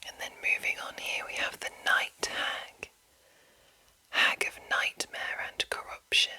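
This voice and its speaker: A woman whispering in a breathy, unvoiced voice as an ASMR host, reading deliberately with soft enunciation. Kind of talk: whispered ASMR reading of a game manual with casual asides.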